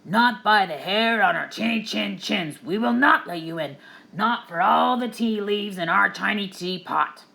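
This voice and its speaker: Storybook cubs: three small wolves, pitched up high, loud and stubborn, voiced by a woman. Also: a little slow, creaky. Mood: defiant. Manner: high, loud chanting refusals.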